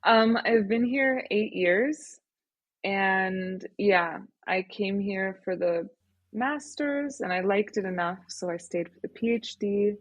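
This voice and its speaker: A woman in her thirties from Canada, speaking as a guest in a relaxed interview, thoughtful and reflective, laughing easily. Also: mid-range voice, takes it slowly, dry recording. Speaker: guest, startup founder. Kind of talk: casual interview conversation.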